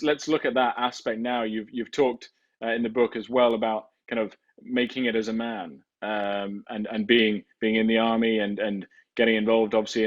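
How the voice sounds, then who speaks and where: A man's voice: lowish voice, highs cut off. A man hosting a podcast interview, asking long, hesitant questions full of restarts. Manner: hesitant, many fillers and restarts. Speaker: podcast host. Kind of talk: conversational interviewing.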